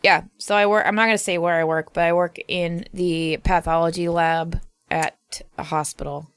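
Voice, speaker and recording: A woman's voice: on the low side, fairly even intonation, moderate pace, clear, resonant tone, no echo. A woman with an American accent co-hosting a podcast, chatting casually in an irreverent, goofy, blunt way with plenty of swearing. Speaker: podcast co-host. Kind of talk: casual comedic podcast chat.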